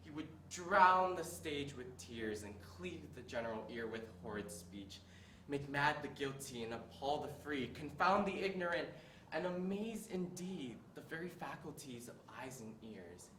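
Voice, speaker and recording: A man's voice: on the high side, animated, unhurried. A teenage boy playing a tormented young prince, reciting a stage monologue that swings from brooding to raging, recorded from a distance in an echoey hall. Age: teens. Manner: builds from brooding quiet to raging shouts. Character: tormented young prince, bitter and self-reproaching. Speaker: student in a monologue contest. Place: school hall. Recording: echoey room, distant mic, faint hiss.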